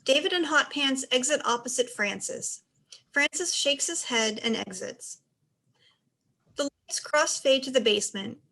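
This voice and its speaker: A woman's voice: high-pitched, a little slow, pushed and bright, low-quality recording. A woman narrator reading stage directions aloud as scripted narration.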